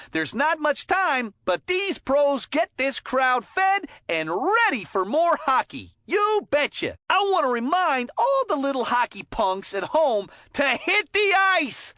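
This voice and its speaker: An actor playing a cheerful, folksy and excitable hockey commentator in a kids' video game, chatty and eager. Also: high-pitched, no echo, clean studio sound, heavily acted. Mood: chipper, chatty. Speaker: sports commentator. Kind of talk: animated sports game commentary.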